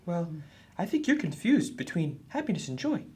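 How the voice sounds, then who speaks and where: A woman voicing an earnest, husky boy puppet who explains things helpfully in a children's puppet show. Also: lowish voice, big pitch swings, normal speed, darkish tone.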